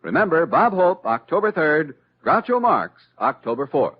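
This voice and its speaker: A man's voice: fairly high pitch, big pitch swings, normal speed. An American man announcing in a polished, emphatic 1950s network radio style. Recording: muffled old radio broadcast recording.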